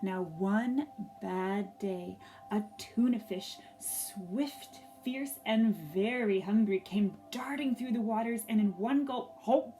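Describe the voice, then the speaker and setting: A woman reading a story aloud for young children, gently and expressively, pausing to ask them questions. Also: mid-range voice, faint music behind, low-quality recording.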